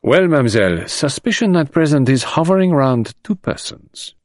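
Man playing an ironical detective, lively pitch. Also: moderate pace, dry recording, clean studio sound. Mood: evasive, courteous. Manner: gentle, ironical, emphatic. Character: middle-aged Belgian male detective, courteous, shrewd, gently ironical. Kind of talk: audiobook character voice.

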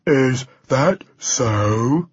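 A man voicing a huge, slow-witted, menacing dragon in a very deep bass, speaking with ponderous deliberation in a storybook reading. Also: animated, husky, no room sound, muffled recording, heavily acted. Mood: dim puzzlement.

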